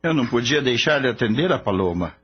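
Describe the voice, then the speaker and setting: A man playing a gentle, easygoing young veterinarian and devoted son in a radio soap opera, with a husky voice. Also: medium pitch, animated, fast talker. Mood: earnest. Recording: dull lo-fi audio with music behind.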